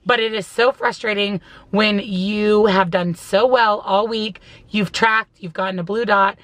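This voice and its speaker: A hoarse woman in her thirties chatting upbeat and emphatic to a phone camera from a parked car, vlogging about her week. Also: medium pitch, a bit bright, no echo. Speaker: weight-loss vlogger. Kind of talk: casual vlog chat to camera. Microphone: phone camera at arm's length.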